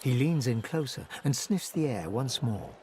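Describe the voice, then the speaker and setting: An actor narrating a fantasy video game in a measured, literary, solemn style. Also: male voice, medium pitch, animated, darkish tone, slight background noise, natural voice. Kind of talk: scripted narration.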